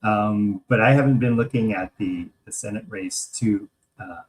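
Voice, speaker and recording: An American man co-hosting a podcast, talking casually about politics and thinking aloud in a hesitant, halting way. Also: on the low side, slight background noise, low-quality recording. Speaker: podcast co-host.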